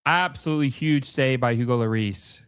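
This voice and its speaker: A man's voice: mid-range voice, brisk, a bit bright, clean tone, dry recording. Man casually calling soccer, close dry sound. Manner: casual fan-like reactions in short, clipped play-by-play phrases. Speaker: host of a live soccer watch-along. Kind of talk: casual live match commentary.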